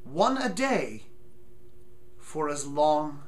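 Theatrical high-voiced man reading slowly. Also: sing-song. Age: 30s. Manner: theatrical, deliberate, with emphatic pauses. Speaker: comic performer reading aloud. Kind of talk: mock-solemn dramatic reading from a book. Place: small furnished room at home, indoors.

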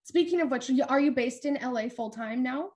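Young woman interviewer, excitable fan energy, slightly high voice, webcam sound. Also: a little fast. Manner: enthusiastic, gushing fan, rambling. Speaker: interviewer host. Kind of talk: casual video-call interview. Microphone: webcam video call.

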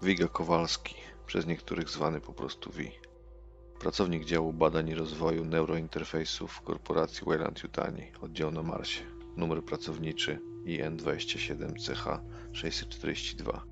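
A man reading a scripted character introduction in a hushed delivery. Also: rumbling low, completely flat delivery, normal speed, creaky, muffled recording, faint music behind.

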